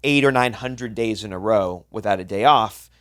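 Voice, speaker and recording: A man's voice: lowish voice, normal speed, a bit bright, no room sound. Blunt, profane man in his thirties, dry sound. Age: 30s. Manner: blunt, profane, rambling with restarts. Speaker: podcast co-host, chef. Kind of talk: casual podcast chat.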